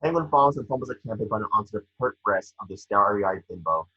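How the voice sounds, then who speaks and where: A man reading scene descriptions as the narrator of a scripted table read, with a husky voice. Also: fairly low pitch, lively intonation, fast, sharp tone, highs cut off. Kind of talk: scripted narration of screenplay action.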